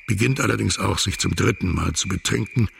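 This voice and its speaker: A man's voice: sits low, brisk, vocal fry, fairly bright tone, a little hiss or hum, full character voice. An actor playing a stern, middle-aged widowed businessman and exhausted father in a radio crime drama, speaking formally, bluntly and with tight control. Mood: bitter, controlled.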